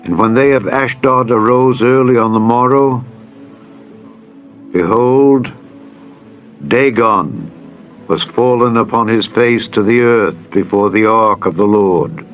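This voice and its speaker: A man narrating scripture in a dramatized style, with solemn, measured, deliberate phrasing. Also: mid-range voice. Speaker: Bible narrator. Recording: dull lo-fi audio with music. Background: music bed.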